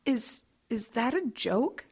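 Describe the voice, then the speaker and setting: Woman as young intern, slightly high pitch, naive, anxious, hesitant, audio comedy acting. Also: very animated, slow pace, darkish tone, breathy edge, full character voice. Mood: alarmed.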